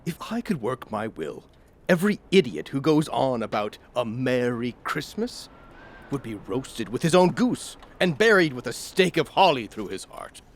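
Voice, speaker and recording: A man's voice: mid-range voice, sing-song, moderate pace. A man playing a bitter old miserly uncle in an audio drama, curt, sneering and sarcastic. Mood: scornful, irritated.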